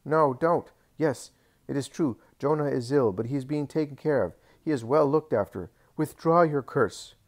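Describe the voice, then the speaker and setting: A man voicing a greedy, proud rich landowner with a squeaky, fox-like voice, pleading and boasting. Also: moderate pace, close to an everyday voice.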